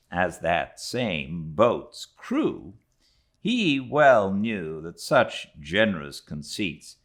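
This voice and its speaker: A British man narrating a classic novel as an audiobook, in a theatrical, deliberately phrased style. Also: sits low, a bit bright. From Britain. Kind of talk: dramatic literary narration.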